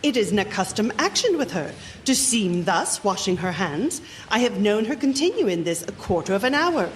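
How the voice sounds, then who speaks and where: A woman playing a stage actress who declaims formally as an earnest, watchful Shakespearean gentlewoman in an audio drama. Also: medium pitch, very animated, moderate pace, slight background noise, close to an everyday voice.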